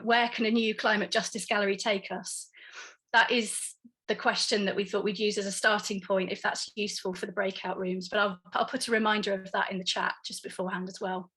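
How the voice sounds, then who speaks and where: A woman's voice: mid-range voice, bright, forceful tone, poor audio. A woman hosting an online event, speaking informally in a polite, tentative way over a video call. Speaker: event host.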